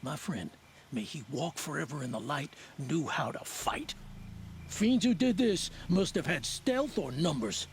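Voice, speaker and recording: Gruff, gravelly quarry worker. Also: male voice, fairly high pitch, animated, unhurried, a little hiss or hum. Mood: grim. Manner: blunt, dismissive. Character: gruff quarry foreman, former slave, blunt and weary. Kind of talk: video game voice acting.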